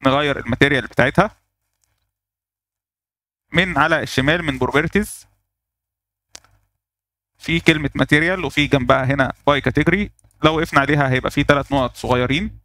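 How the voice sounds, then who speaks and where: Egyptian guy doing a software tutorial voice-over, quick, lots of "ah" while he clicks around, dry close mic. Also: fairly high pitch, no echo. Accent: Egyptian Arabic. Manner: explains step by step, thinking aloud with fillers. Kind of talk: screen-recorded software tutorial.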